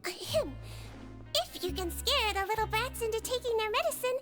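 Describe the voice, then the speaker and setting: Small female creature nurse, very high and lilting, gentle but sly, game dialogue over music. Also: expressive, normal speed. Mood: reflective. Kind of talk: scripted game voice acting.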